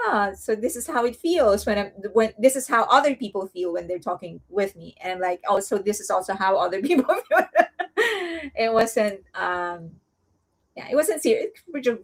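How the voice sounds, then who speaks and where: Woman, accented English, casual rambling host, laughs easily. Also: medium pitch. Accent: non-native English accent. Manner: chatty, rambling, laughs often; speaks softly and coos to a baby at times. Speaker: host of a fan news channel. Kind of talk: casual livestream chat.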